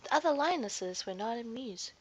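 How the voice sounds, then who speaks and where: A woman's voice: on the high side, lively intonation, moderate pace. A woman narrating a scripted children's story expressively, as a storyteller to young listeners.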